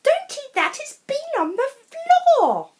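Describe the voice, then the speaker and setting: A worried storybook mother, acted out by a woman narrator. She cries out shrilly in a very high, raised voice. Her manner is flustered, urgent and scolding. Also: wildly swooping pitch, takes it slowly, darkish tone, full character voice. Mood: alarmed.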